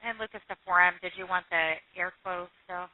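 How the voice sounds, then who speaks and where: A woman's voice: fairly low pitch, steady tone, a little fast. A woman working as a police dispatcher, talking in terse, businesslike radio procedure. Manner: clipped, businesslike. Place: dispatch room, recorded over phone and radio lines. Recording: narrow muffled phone and radio line.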